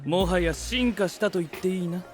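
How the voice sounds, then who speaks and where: Man as dry young knight, high-pitched voice. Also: normal speed, natural voice. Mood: dry observation. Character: cool, analytical young male knight, dryly blunt. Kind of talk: anime-style voice drama. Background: music.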